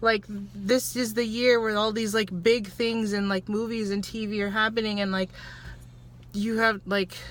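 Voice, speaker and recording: A woman co-hosting a casual film review chat, speaking haltingly with many fillers, often trailing off and restarting. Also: mid-range voice, little pitch movement, slight background noise. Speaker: vlog co-host reviewing a film.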